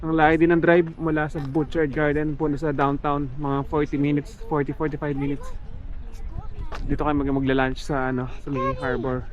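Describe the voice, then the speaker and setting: A man's voice: a little high, little pitch movement, a little fast, dull audio. A man with a Filipino accent chatting casually as a family travel vlogger, mixing Tagalog and English. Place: outdoors at busy tourist spots. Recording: outdoors with busy crowd chatter.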